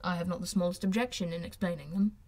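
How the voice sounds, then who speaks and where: Woman as a proud, reserved gentleman. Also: fairly low pitch, fairly even intonation, pitched below the performer's own voice. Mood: cool. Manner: measured, formal, restrained. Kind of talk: audiobook character voice.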